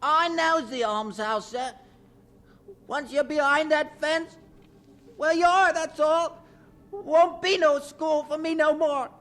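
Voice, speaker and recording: An actor playing a poor, downcast but stubborn schoolboy in a radio drama, with an English accent and halting, uneducated street speech. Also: high voice, a bit bright. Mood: sad, resigned. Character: poor schoolboy, downcast, stubborn yet polite, facing the almshouse.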